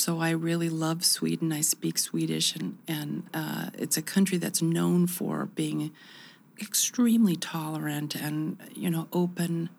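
A woman in her fifties from the United States talks through her experiences in a reflective, animated way, often backing up to restart a thought. Her voice sits a little low and carries a gravelly edge. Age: 50s. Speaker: interview guest. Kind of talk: conversational interview.

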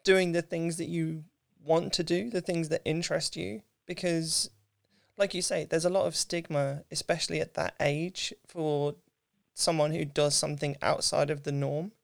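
A woman, hosting a casual interview in an informal, thoughtful way with halting phrasing. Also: deep voice, steady tone, creaky, dry recording, studio quality. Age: mid 30s. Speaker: podcast host, interviewer.

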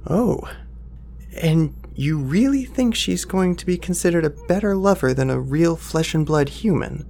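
A man's voice: a little high, expressive, a little slow, creaky, a little mellow, a little hiss or hum. A man playing a nervous, apologetic young assistant in an audio drama, hesitant, polite and easily flustered.

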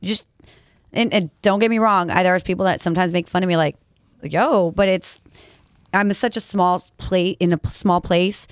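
A woman's voice: fairly low pitch, normal speed, clear, resonant tone, no room sound, studio quality. A woman from Los Angeles, a DJ guest on a podcast, chatting in a casual, blunt way, swearing freely and saying "like" often. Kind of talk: casual interview chat.

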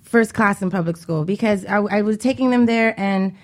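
A woman's voice: medium pitch, normal speed. A woman talking candidly as a radio guest, outspoken and emphatic, repeating phrases for stress. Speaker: guest, homeschooling mother. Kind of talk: casual, candid radio interview talk.

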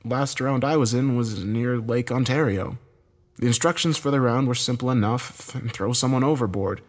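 A man's voice: mid-range voice, moderate pace, voice pushed up, lightly acted. A man voicing a desperate, bitter man in a dramatic story, agitated, urgent and given to swearing. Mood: explaining, frustrated. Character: Josh, desperate bitter man, cornered game loser.

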